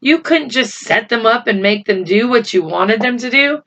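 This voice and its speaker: A woman voicing an earnest, cautious young boy who explains insistently and haltingly, in a children's storybook reading. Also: fairly high pitch, animated, a bit bright, highs cut off. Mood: earnest, explaining.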